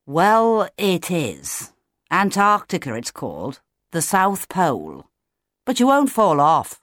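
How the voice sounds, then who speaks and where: A woman voicing a big, patient, fatherly male emperor penguin in a storybook, brisk and matter-of-fact. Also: huge pitch swings, fairly bright tone, dry recording, studio quality, exaggerated voice. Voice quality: deep.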